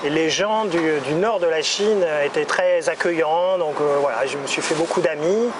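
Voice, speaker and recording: Forties male guest, unhurried and halting, high-ish voice, noisy street. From France. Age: 40s. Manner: relaxed, hesitant, many "euh" pauses. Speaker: interview guest. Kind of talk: casual street interview. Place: outdoors on a city street. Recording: street noise behind.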